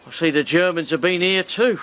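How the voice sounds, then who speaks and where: An actor playing a scruffy, plain-spoken riverboat captain, talking bluntly and haltingly. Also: male voice, a little high, a bit bright, a little hiss or hum, close to an everyday voice. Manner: blunt, halting, slangy speech. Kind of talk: radio drama acting.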